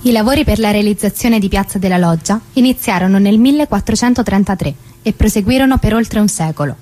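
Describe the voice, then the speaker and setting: Woman acting as soft mellow chronicler, formal factual reading, mid pitch, radio play. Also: fast talker, natural voice. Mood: formal, sober. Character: impersonal female chronicler reciting historical facts. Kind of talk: formal scripted documentary narration in radio theatre.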